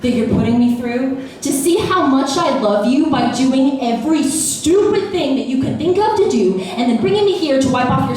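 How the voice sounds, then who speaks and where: A woman's voice: a little mellow, a little hiss or hum. Young American woman doing spoken word poetry. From Austin, Texas, USA. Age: young adult. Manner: playful, wry, with sudden pitch jumps and laughs. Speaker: poet at an open mic. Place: small bar. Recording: echoey bar room, voice from far off.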